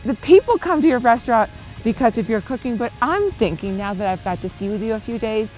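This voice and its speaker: Friendly woman presenter, thirties, bright high voice swinging lively, faint music under her. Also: brisk, rough recording. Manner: upbeat, laughs often, friendly. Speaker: host, former ski racer. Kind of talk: presenter intro and casual interview. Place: outdoors on a ski slope and chairlift.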